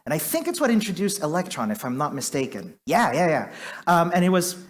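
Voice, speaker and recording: Man from Chile on a conference stage, slightly high voice, chatty and self-deprecating, lots of "like" and "um". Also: sing-song, brisk. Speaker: conference speaker. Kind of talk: conference talk.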